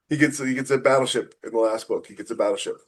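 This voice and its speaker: A man in casual conversation as a podcast co-host and author, with a wry, joking way of talking. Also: mid-range voice. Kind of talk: casual chat about writing.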